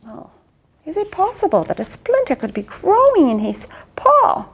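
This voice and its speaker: A woman reading a picture book aloud to children, expressive and swooping, with lots of exclamations. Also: very high voice, moderate pace. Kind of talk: read-aloud storytelling to children.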